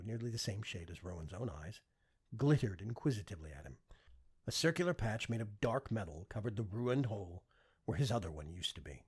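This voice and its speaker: A man's voice: mid-range voice, a little mellow, husky. A man narrating scripted fiction as an audiobook reader.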